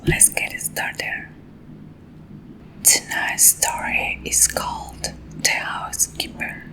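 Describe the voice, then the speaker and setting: A young woman from Colombia with a Colombian Spanish accent, a native Spanish speaker, reading a story in soft, intimate ASMR whispers into a close microphone. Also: slow, poor audio. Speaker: ASMR creator.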